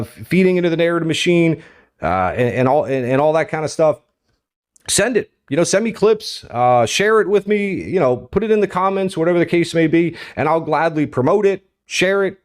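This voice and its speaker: Informal, rambling American man giving commentary. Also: fairly high pitch. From United States. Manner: informal, rambling, repeatedly checking in with listeners. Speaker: host of a political commentary show. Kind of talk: unscripted opinion commentary to viewers.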